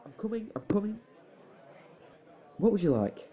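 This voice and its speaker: A man's voice: sits high, big pitch swings, measured pace, dark tone, a faint crowd. A man playing a long-suffering, exasperated but fond young husband in a fan audio drama, flustered and grudging.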